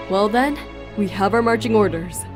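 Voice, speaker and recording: A woman's voice: mid-range voice, normal speed, natural voice. A woman voicing a composed, loyal female warrior in a fantasy game fan dub, earnest and plainspoken. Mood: resolute. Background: music.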